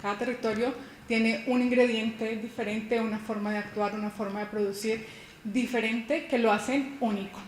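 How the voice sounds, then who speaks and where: A woman's voice: on the high side, fairly even intonation, normal speed. A woman from Colombia in her thirties giving a conference keynote, explaining in a personal way with rhetorical questions and a few restarts. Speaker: university lecturer giving a keynote. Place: conference hall. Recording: echoey hall with faint noise.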